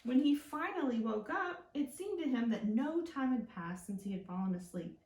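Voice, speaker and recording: A woman leading a children's storytime as a librarian, reading aloud expressively and talking gently and simply to young listeners. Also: on the high side, animated, moderate pace. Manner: gentle, simple, encouraging talk aimed at small children. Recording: roomy, echoing indoor sound.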